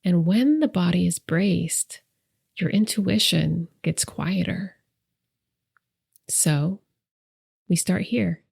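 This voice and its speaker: A woman leading a guided meditation as host, speaking softly and gently with deliberate pauses, her breathy voice trailing into whispers. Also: lowish voice. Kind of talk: soft, soothing guided meditation narration.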